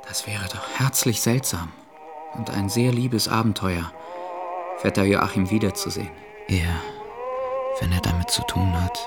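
An actor playing a polite, reserved young gentleman who speaks in a courteous, measured way in a radio play. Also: on the low side, sing-song, music in the background. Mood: wistful.

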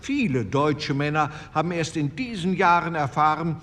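A man's voice: fairly high pitch, animated, normal speed, fairly bright tone. An actor playing a male postwar delegate, giving formal, argumentative parliamentary speech in a radio drama. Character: male postwar CDU delegate, earnest and persuasive. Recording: reverberant hall with faint room noise.

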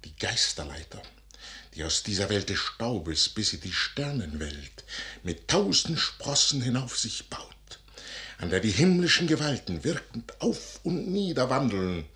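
An actor playing a proud, secretive commander who trusts the stars, declaiming classical verse grandly and deliberately in a 1955 radio drama. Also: male voice, fairly low pitch, big pitch swings, bright, forceful tone, full character voice. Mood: lofty, mystical. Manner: grand declamatory delivery, deliberate emphatic phrasing. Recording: 1955 radio studio recording.

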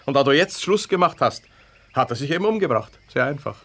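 A man's voice: a little high, lively intonation, a little fast, a bit bright. An Austrian man playing a jealous, sardonic husband in a conversational 1970s radio drama style, probing and ironic. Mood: bitter, insistent. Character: jealous husband, sardonic, smooth-talking, needling his wife.

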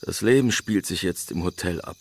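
A man's voice: sits low, normal speed, slight background noise. An actor playing a wistful townsman who chronicles resort life in a German radio drama.

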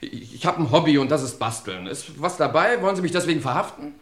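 A flippant young gentleman in an old radio mystery. His pitch leaps about excitably, with a breezy, defensive edge. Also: fast talker. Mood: agitated, defensive. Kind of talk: vintage German crime radio drama acting.

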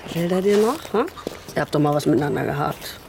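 Low-voiced, teasing woman friend. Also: animated, background noise. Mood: nosy. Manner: teasing, brisk, sceptical. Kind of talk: naturalistic radio drama acting.

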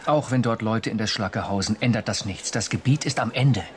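An actor playing an anxious, stubborn official in a German radio drama, speaking in a tense, defensive way. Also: male voice, lowish voice, normal speed, slight background noise, lightly acted. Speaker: government official in charge of regeneration.

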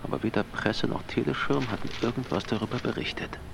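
An actor playing a party clerk musing matter-of-factly in a gravelly voice, as inner monologue in a radio drama. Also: male voice, mid-range voice, quick pace, noisy background.

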